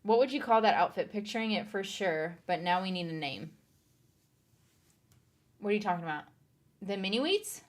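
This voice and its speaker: A woman's voice: medium pitch, expressive, normal speed, pauses often. A woman streamer chatting casually on a live stream, reading viewer comments aloud and laughing along.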